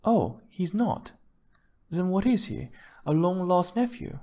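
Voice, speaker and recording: A man acting a glamorous, wealthy wife in an audiobook. Her pitch is raised and swoops about. She gushes, bristles easily and sprinkles her talk with pet names. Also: big pitch swings, slow pace, mellow, heavily acted. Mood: defensive. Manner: gushing, defensive, pet names for husband. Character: wealthy glamorous wife, breezy, touchy, charming woman.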